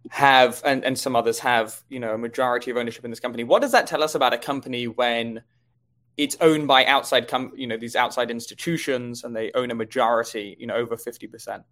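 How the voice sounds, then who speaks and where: A man's voice: mid-range voice, fairly even intonation, fast, a bit bright. A man co-hosting a stock market show, giving conversational commentary on companies and markets.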